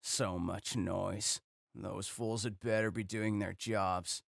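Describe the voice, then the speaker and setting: A man doing a cold villain, low, gritty, slow. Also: fairly even intonation, gravelly, no room sound. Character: cold low-voiced male yakuza villain.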